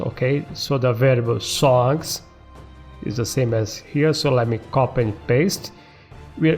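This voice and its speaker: Unhurried man teaching code over music. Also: medium pitch. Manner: checks in often with tag questions like okay and all right. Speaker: coding tutorial host. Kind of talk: casual step-by-step screen-recorded coding tutorial. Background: background music.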